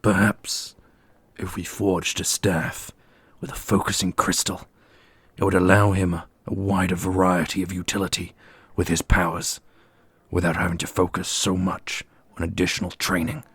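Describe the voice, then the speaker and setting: A man playing a brooding, scornful supernatural warrior in an ASMR roleplay, speaking formally in a husky, breathy voice. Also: low-pitched, level tone, moderate pace, a bit bright, no room sound, full character voice. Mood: dry, needled.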